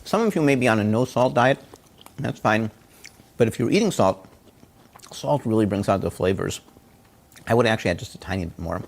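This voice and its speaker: A man's voice: on the low side, animated, brisk, creaky, long pauses. A man showing a dish in his home kitchen over a video call, chatty and encouraging as he cooks and tastes. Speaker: home cook presenter. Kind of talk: casual cooking demonstration. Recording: faint background noise.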